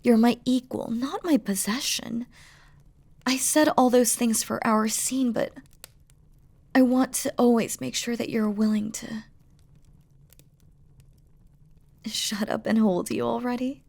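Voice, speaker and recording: A woman playing a devoted, regal demon queen in a romantic audio roleplay, speaking softly and formally to her lover, often dropping to a whisper. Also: fairly high pitch, fairly even intonation, unhurried, vocal fry. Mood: soft, affectionate. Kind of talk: scripted romantic audio roleplay, spoken intimately to the listener.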